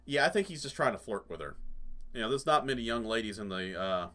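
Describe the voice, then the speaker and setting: A man's voice: mid-range voice, animated, fast talker, pushed and bright. A middle-aged man giving casual, rambling let's play commentary in a conversational way. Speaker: gaming host. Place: small cluttered indoor room.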